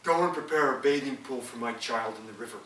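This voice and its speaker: Brief: a man as a proud husband giving orders, lively bright voice, roomy and distant. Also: mid-range voice, fast talker, a little hiss or hum, pitched below the performer's own voice, natural voice. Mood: commanding. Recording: echoey room, from far off.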